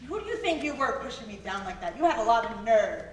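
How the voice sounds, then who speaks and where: A woman's voice: fairly high pitch, very animated, fast talker. A woman playing a tough, combative young woman under arrest, in a staged reading of a musical. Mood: scornful, combative. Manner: indignant, combative, protesting. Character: tough, defiant twenty-five-year-old tattooed woman arrested. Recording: roomy, distant mic, noisy live hall.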